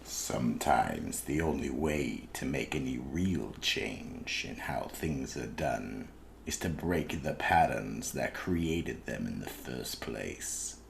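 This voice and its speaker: An actor playing an ominous, wry horror anthology host, delivering scripted narration. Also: male voice, rumbling low, expressive, takes it slowly, vocal fry, slight background noise, exaggerated voice. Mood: brooding.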